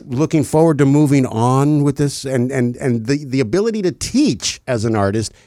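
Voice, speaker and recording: A man hosting a casual interview, friendly and joking, with frequent halting restarts. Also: mid-range voice, lively intonation, warm tone, no room sound, clean studio sound.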